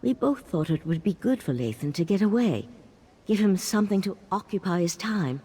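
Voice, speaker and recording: An actress playing a troubled, sardonic mage in a video game, speaking in a weary, confiding way. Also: female voice, medium pitch, animated, normal speed, warm tone, slightly rough. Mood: worried, resigned. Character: troubled, sardonic female Psijic mage, grieving mother. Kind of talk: video game character dialogue. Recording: game music behind.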